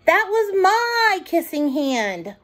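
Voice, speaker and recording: A woman's voice: very high voice, sing-song, slow, fairly bright tone, pitched above the performer's own voice, exaggerated voice. A woman voicing a pouting little boy raccoon in a children's storybook, pleading and whiny. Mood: hurt, sad.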